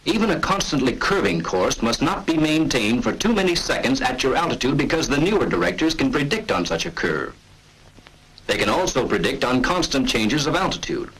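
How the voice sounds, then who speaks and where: A man narrates a 1940s military training film in a measured, authoritative way. His voice is husky, with a lively, rising and falling pitch. It sounds like an old film soundtrack. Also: low-quality recording. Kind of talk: scripted instructional narration.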